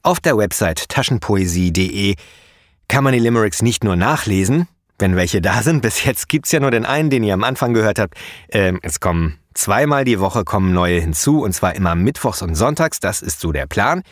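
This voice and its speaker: Male poet-host, low voice, chatty explainer, dry recording. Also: normal speed, dry recording. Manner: chatty, relaxed, with frequent fillers. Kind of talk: explanatory podcast monologue.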